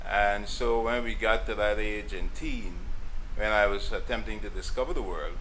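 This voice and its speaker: A man from Guyana, giving thoughtful, unhurried interview answers and pausing often on "uh". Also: lowish voice, fairly bright tone, slight background noise, rough recording. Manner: thoughtful, unhurried storytelling with frequent "uh" pauses.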